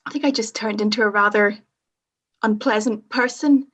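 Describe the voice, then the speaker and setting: Young woman, Northern Irish accent, husky, frank and self-mocking, casual guest. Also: medium pitch, moderate pace, a bit bright. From Northern Ireland. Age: young adult. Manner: frank, self-mocking, laughs at own story. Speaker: podcast guest. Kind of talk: casual conversation.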